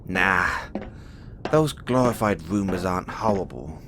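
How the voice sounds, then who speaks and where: A man playing a cocky, playful, imprisoned experiment who calls himself an emperor, with halting, teasing, flirtatious delivery in an audio roleplay. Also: sits low, expressive, noisy background. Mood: casual, boastful. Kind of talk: audio roleplay acting addressed to the listener.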